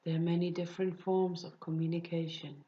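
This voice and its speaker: A woman leading a guided meditation, speaking softly and soothingly, often dropping into breathy whispers. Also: on the low side, flat delivery, dull audio. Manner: slow, soft, soothing with breathy whispered phrases.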